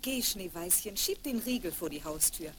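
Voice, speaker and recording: A woman's voice: on the low side, expressive, moderate pace, lightly acted. Woman playing a kindly mother, hissy old record. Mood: caring, calm. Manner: motherly, gently instructing. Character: kindly, caring mother of two girls. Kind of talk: 1970s children's fairy-tale radio play acting. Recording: hissy 1970s vinyl record.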